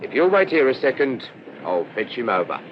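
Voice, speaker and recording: An actor playing an obliging London constable with an English accent, colloquial and deferential, in a radio drama. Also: male voice, mid-range voice, big pitch swings, moderate pace, background noise, lightly acted. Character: obliging, plain-spoken male London police constable. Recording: muffled lo-fi radio drama recording.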